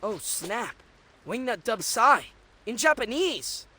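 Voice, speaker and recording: A man's voice: very high voice, big pitch swings, takes it slowly, bright, forceful tone, husky, heavily acted. A man voicing a laid-back, brash teenage mutant turtle boy in a cartoon game, casual and slangy. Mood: amused, prodding. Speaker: voice actor in a game cutscene. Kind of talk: animated cartoon game acting.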